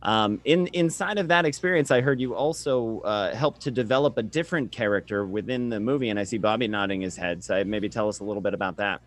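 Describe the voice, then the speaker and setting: A man's voice: on the low side, quick pace, hardly any pauses. A man hosting a cast question-and-answer panel on a video call, speaking conversationally and courteously. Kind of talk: conversational interviewing. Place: indoors on a group video call.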